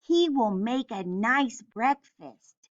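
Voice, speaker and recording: A woman's voice: fairly high pitch, expressive, slow pace, lo-fi sound. A woman voicing a polite, slightly nervous middle-sized male billy goat in a storybook, speaking carefully. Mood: nervous pleading.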